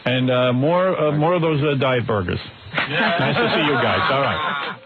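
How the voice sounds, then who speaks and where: A man's voice: mid-range voice, animated, a little slow, muffled recording, over music. A man hosting a radio show, teasing his guests with sarcastic questions. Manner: mocking, sarcastic.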